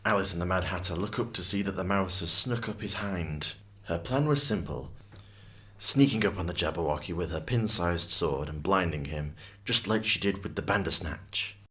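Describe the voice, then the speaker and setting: Low-voiced man as fantasy storyteller. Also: moderate pace, a bit bright. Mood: narrating. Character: storyteller narrating a grim fantasy adventure. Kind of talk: scripted storybook narration and radio drama acting.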